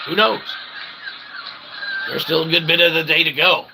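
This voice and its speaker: A man's voice: a little high, normal speed. A man hosting a live stream, rambling through a comic mock-sermon in wordy, pseudo-formal phrases with folksy check-ins. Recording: clearly noisy background.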